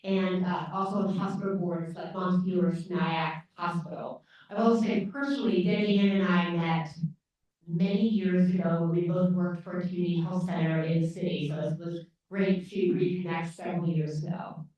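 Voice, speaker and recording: A woman's voice: on the low side, fairly even intonation, moderate pace, dark tone. A woman moderator introducing panelists at a live event, with frequent fillers and restarts. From grew up on a dairy farm. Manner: hesitant, many fillers. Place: large echoey lecture room. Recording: distant echoey hall audio, dull.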